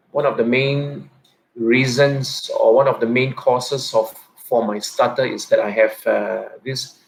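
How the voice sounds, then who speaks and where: A man in his thirties, a teacher, giving a personal reflective talk, speaking haltingly with a stutter and frequent repeats and restarts. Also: medium pitch, a little hiss or hum, rough recording. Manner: soft-spoken and introverted.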